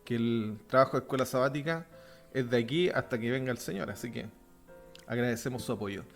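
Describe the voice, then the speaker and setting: A man with a softened Chilean accent hosting a religious podcast, chatting informally and offering blessings to listeners. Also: medium pitch, brisk, soft background music. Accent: softened Chilean Spanish. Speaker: podcast host. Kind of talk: casual religious podcast chat.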